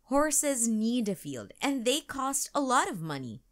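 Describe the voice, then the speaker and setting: A woman voicing a gentle, practical mother in a children's storybook, explaining things reassuringly. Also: a little high, big pitch swings, measured pace, voice pushed up.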